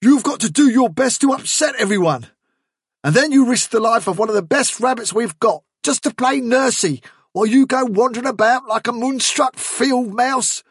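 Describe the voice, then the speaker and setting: A man's voice: extremely high pitch, normal speed, husky, dry recording, studio quality, pitched above the performer's own voice, exaggerated voice. A man voicing a blustering, scornful male rabbit in a storybook, scolding with sarcastic, emphatic delivery. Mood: furious scorn. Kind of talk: storybook character dialogue.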